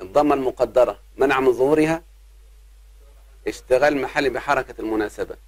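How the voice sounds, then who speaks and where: A man, teaching an Arabic grammar lesson. He talks patiently, addresses his students as his children and slows down on examples. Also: mid-range voice, no room sound. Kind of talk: grammar lesson explaining verse.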